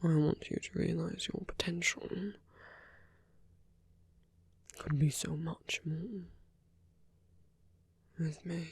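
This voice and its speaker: A man playing a smitten, idealistic villain in an intimate ASMR roleplay, with a husky, often whispered voice and an earnest, coaxing manner. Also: a little high, little pitch movement, slow pace, no room sound, exaggerated voice. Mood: earnest, tender. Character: romantic male villain, smitten, idealistic, urging a lover to flee.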